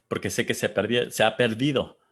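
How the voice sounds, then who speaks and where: A man from Texas hosting a panel, talking casually and reflectively, pausing to search for words and reading out audience comments. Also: on the low side, fairly even intonation, fairly bright tone, husky. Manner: thoughtful, searching for words, pauses.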